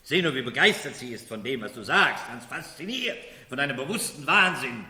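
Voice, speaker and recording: An actor in a 1970s German radio drama playing a sardonic, defiant aristocratic rival who speaks in a pointed, challenging way. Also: male voice, mid-range voice, big pitch swings, a little fast, bright, forceful tone. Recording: echoey studio hall.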